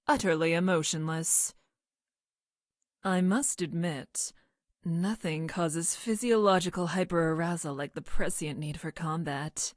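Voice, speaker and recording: A stilted, logical young woman scientist from the future , acted by a woman for a video game. Her voice sits slightly low and is recorded very dry. She words everything formally and precisely, with clinical deadpan delivery. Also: dry recording, lightly acted. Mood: detached, wary. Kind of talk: video game character voice lines.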